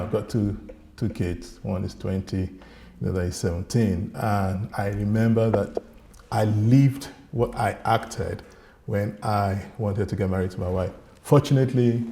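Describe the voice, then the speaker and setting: Low, mellow man reflecting. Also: slight background noise. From Nigeria. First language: Yoruba. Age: middle-aged. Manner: earnest, reflective, pauses and restarts. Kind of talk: personal talk.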